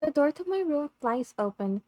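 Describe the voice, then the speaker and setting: A woman reading a visual novel script aloud in an expressive, dramatic way over game music. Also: high voice, normal speed, no echo.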